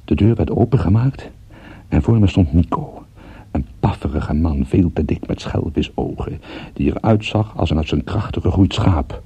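An actor playing a wry, groggy captive private detective in a Dutch radio drama, with dry, sardonic understatement. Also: male voice, low voice, animated, normal speed, warm tone, husky, exaggerated voice. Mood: wry, weary. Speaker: narrator and lead character. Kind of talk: Dutch radio drama acting, first-person detective narration.

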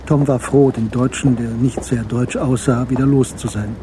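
A man narrating a German radio crime drama in a measured, matter-of-fact way. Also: medium pitch, steady tone, very noisy. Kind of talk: scripted audio drama narration.

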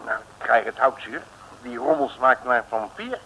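An actor playing a grumbling, coarse-mouthed comic detective, blustering and exclaiming in slang in an old radio comedy serial. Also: male voice, mid-range voice, animated, normal speed, sharp tone, a little hiss or hum, rough recording. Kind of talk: 1950s Dutch comic radio serial acting.

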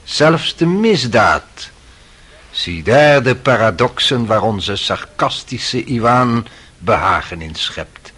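An actor playing an urbane, mocking gentleman in a radio drama, speaking with ironic relish. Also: mid-range voice, sing-song, slow, husky, exaggerated voice. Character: urbane, mocking society gentleman, needling a sceptic.

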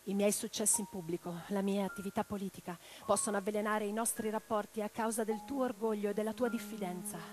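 An actress playing a young, passionate and romantic socialist woman in a radio drama, speaking in an expressive, earnest, lyrical way. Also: mid-range voice, quick pace, few pauses, over music, close to an everyday voice. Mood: grave, loving. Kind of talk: theatrical radio drama monologue and dialogue.

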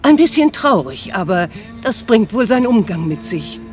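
A woman's voice: medium pitch, very animated, moderate pace, darkish tone, soft background music, lightly acted. An actress playing a polite, prim, admiring townswoman in a radio drama.

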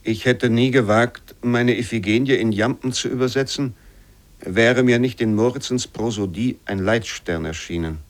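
A man's voice: medium pitch, normal speed, close to an everyday voice. Actor as declamatory 18th-century man of letters. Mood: solemn gratitude. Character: quoted 18th-century men of letters and a king, earnest, exalted. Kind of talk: dramatic reading of historical quotations in a radio feature.

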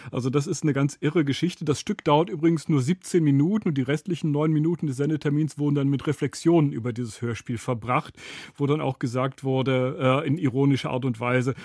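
A man with a medium-pitched voice talks as an expert studio guest. He explains analytically, in learned and articulate phrasing. The sound is close and dry, with very little room. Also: a little mellow, slightly rough, dry recording. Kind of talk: explanatory studio interview talk.

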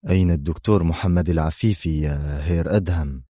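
A man's voice: a bass voice, steady tone, a little fast, warm tone, breathy edge, dry recording, clean studio sound, pitched below the performer's own voice. A man voicing an arrogant, cold villain spy with a haughty, stern, menacing manner in an audio story. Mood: controlled.

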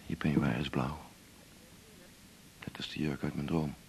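Lonely quiet lover in a radio play, super deep creaky voice, intimate. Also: male voice, level tone, measured pace, slight background noise. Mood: soft, vulnerable. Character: lonely, vulnerable man, the doctor's quiet lover. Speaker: actor in a radio drama. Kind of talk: 1980s Dutch radio drama acting.

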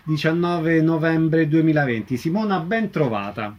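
A man's voice: a little high, moderate pace, dull audio. A man hosting a radio interview programme, introducing guests and asking them questions.

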